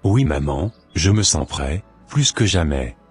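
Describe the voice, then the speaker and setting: A man's voice: low voice, big pitch swings, measured pace, husky, soft background music, full character voice. A man playing a polite, obedient schoolboy son in a cartoon, speaking in a formal, deferential way. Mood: polite, compliant. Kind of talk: scripted cartoon dialogue.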